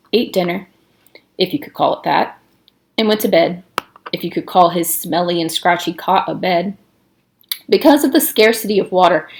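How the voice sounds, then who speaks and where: Female read-aloud narrator, mid-range voice, expressive storybook delivery with emphatic dialogue. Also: mid-range voice, creaky.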